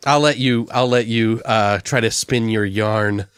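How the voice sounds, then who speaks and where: A man hosting a comedy podcast, bantering casually and profanely in an animated, giggly way as he improvises. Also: on the low side, unhurried, clean tone, no echo, studio quality. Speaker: podcast host.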